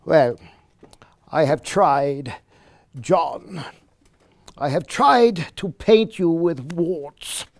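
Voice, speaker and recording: An actor playing a wry, exasperated biographer, speaking in a deliberate, pompous, drily ironic theatrical monologue with hesitations. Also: male voice, fairly high pitch, lively intonation, dry recording, heavily acted. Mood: wry, earnest. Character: wry, exasperated male biographer addressing his old friend. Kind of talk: theatrical monologue reading a letter aloud.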